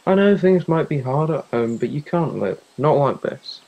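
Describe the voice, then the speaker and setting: A man's voice: fairly high pitch, animated, moderate pace, vocal fry, lo-fi sound. A young man in a youth theatre play acting a worried, caring teenage friend, earnest and pleading. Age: young adult.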